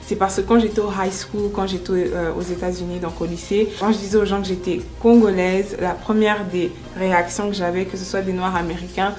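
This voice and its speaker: A woman's voice: medium pitch, level tone, a little fast, hardly any pauses, a little mellow. Earnest young woman over background music. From born in France, grew up in the United States. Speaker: entrepreneur and makeup artist being interviewed. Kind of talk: first-person interview testimonial. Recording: music under the voice.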